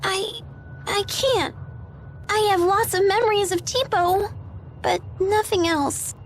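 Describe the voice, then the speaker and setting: Very high, shy little girl. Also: fairly even intonation, slow pace, a little hiss or hum, heavily acted. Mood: wistful. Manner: soft-hearted, hesitant. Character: shy, gentle, sad little orphan girl. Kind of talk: anime game dub acting. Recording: music behind.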